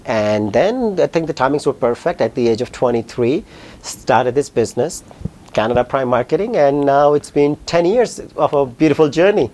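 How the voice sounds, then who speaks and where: A man in his thirties from Pakistan with a Pakistani accent, answering interview questions in a fast, animated way. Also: medium pitch. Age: 30s. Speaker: guest, company founder.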